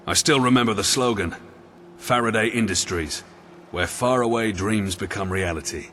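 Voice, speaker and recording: An actor playing a grim, dutiful military commander in a video game, speaking in an earnest, formal, authoritative way. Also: male voice, on the low side, moderate pace, fairly bright tone, slight background noise, natural voice. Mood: reflective. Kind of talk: video game cutscene acting.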